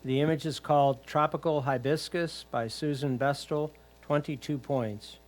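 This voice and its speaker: A man's voice: on the high side, steady tone, moderate pace. Man formally announcing titles and scores.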